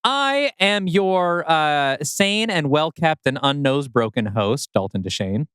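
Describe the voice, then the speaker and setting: A man's voice: fairly high pitch, lively intonation, a little slow, a bit bright, smooth, dry recording, studio quality. A man co-hosting a podcast in casual chat, with a wry, bantering manner. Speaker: podcast co-host.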